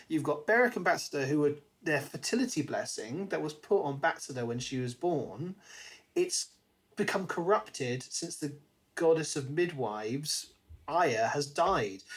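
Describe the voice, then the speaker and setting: A podcast co-host with a medium-pitched voice talks through ideas in a casual chat. The delivery is conversational and musing, as if thinking out loud. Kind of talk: casual book discussion chat.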